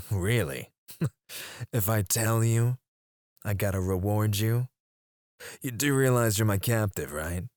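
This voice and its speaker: A man voicing a deep, slow, taunting mercenary. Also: darkish tone, no room sound. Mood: mocking. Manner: slow, low, taunting, close to the mic with whispered lines. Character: menacing male mercenary interrogator, cocky and teasing. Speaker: ASMR roleplay voice actor. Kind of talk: ASMR roleplay.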